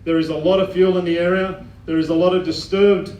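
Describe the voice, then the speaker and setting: Loud-voiced Australian man briefing a hall. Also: high voice, fairly even intonation, distant mic, dull audio. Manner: loud, plain-spoken, many "uh" pauses. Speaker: fire incident controller. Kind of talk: public briefing at a community meeting. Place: bowling club function hall. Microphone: microphone addressing a room.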